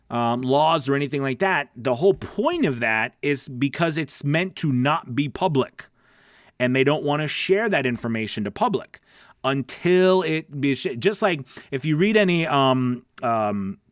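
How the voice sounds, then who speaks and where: A man's voice: fairly high pitch, animated, normal speed, dry recording, clean studio sound. A man hosting a live question-and-answer stream as a coach, talking casually and emphatically, repeating words and checking in with his listeners. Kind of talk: casual instructional livestream chat, answering viewer questions.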